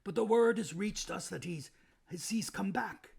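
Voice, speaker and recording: A man voicing a gruff, eager male beaver who is proud and kindly, with a rough, strained voice. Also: sits high, measured pace, heavily acted. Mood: earnest, emphatic.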